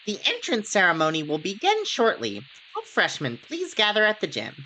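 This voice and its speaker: A woman's voice: medium pitch, wildly swooping pitch, normal speed, bright, forceful tone, slight background noise, poor audio. A woman playing a game's school announcer in a formal, official manner.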